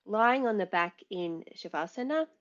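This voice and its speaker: A woman teaching yoga nidra, guiding relaxation in a calm, soothing, measured way with long pauses. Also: mid-range voice, muffled recording. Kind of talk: guided relaxation, meditation instruction.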